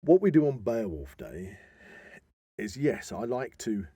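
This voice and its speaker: British bloke podcast chat, kinda husky and dark voice, middle aged, stresses his points. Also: on the low side, very animated, unhurried, dry recording, studio quality. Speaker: podcast co-host. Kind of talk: casual conversational podcast talk.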